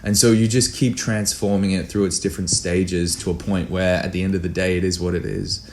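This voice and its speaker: A man with a low voice talks casually as an interview guest. He is candid and blunt in an informal way, with a faint layer of noise behind him. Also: fairly even intonation, a little fast. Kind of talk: casual chat.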